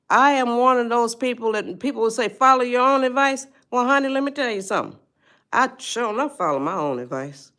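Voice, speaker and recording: Slow-talking woman in her eighties, folksy livestream host who calls her viewers y'all. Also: lowish voice, a bit bright. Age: 80s. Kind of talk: casual livestream talk.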